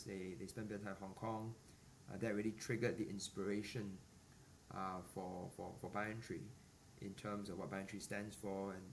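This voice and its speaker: A man in his forties from Singapore, a business executive answering podcast interview questions in a measured way with many fillers and restarts. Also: on the low side. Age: 40s. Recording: distant mic with faint ambient noise.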